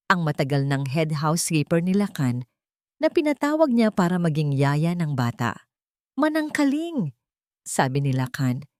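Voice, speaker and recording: A woman narrating a scripted dramatic story in measured short phrases, pausing for effect. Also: low-pitched, darkish tone, no room sound, studio quality.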